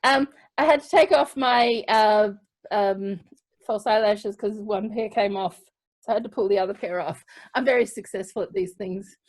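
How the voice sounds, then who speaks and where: An Australian woman hosting a live online show in a casual, halting, playful and chatty way. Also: medium pitch.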